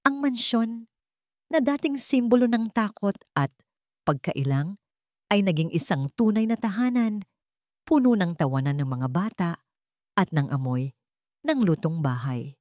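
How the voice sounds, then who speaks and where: A woman's voice: mid-range voice, animated, unhurried, pauses often, darkish tone, no echo, clean studio sound. A woman with a Filipino accent reading a scripted romance story as a dramatic narrator.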